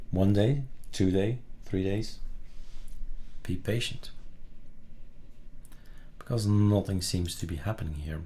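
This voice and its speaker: A man hosting a casual software tutorial, thinking aloud and muttering in short fragments as he works. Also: sits low. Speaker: tutorial host. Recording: background noise with keyboard typing and clicks. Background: keyboard typing, mouse clicks.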